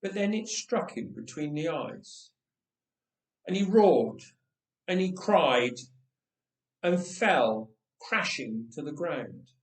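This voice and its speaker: A man reading a story aloud in an expressive, theatrical and deliberate way. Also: a little high. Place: echoey church interior. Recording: echoey room, distant from mic.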